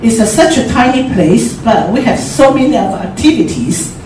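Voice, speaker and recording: A middle-aged woman with a Taiwanese accent giving an informal forum talk, haltingly, searching for words. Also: on the high side, expressive. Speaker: forum speaker. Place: large echoey indoor room at a forum. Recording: very echoey room, distant mic, clear noise.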